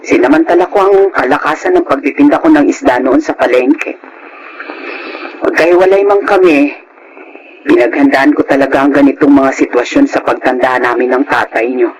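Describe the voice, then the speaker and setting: A woman playing a devout, gently resigned older mother, earnest and sorrowful in a Filipino radio drama. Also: very deep voice, normal speed, muffled recording.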